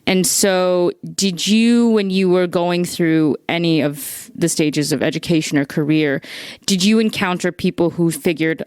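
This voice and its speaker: A woman's voice: on the low side, a little slow, darkish tone, smooth, dry recording, studio quality. A woman hosting a podcast, asking long, winding questions in a curious, conversational way. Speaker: podcast host.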